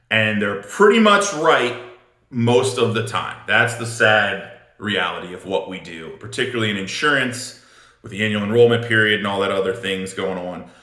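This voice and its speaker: Guy coaching sales, bright punchy voice, blunt and informal, repeats stuff for emphasis, roomy echo. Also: fairly low pitch. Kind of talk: instructional talk on phone sales technique. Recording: roomy, echoing room sound.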